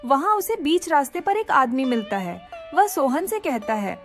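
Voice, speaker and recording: A woman reads scripted story narration. Her voice is high and moves up and down a lot, with soft music behind her. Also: big pitch swings, normal speed.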